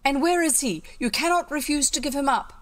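Woman reading as a stern, pompous storybook gentleman. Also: high voice, brisk, pitched above the performer's own voice, lightly acted. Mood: stern, demanding. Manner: formal, insistent, declamatory.

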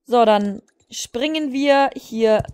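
A woman hosting a let's play, chatting and exclaiming excitably as she comments on the game. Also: on the high side, takes it slowly, clean tone. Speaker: gaming channel host.

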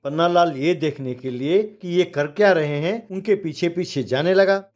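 Male narrator, slightly high pitch, scripted storytelling, music underneath. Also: moderate pace, darkish tone.

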